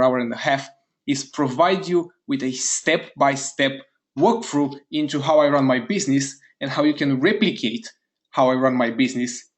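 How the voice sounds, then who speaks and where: An eager man from Romania hosts a live online webinar, pitched squarely in the middle for a man. He speaks straight to his chat audience, upbeat and keen, and fires off quick repeated words of praise. He sounds like he is talking into a computer mic on a web call. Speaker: webinar host.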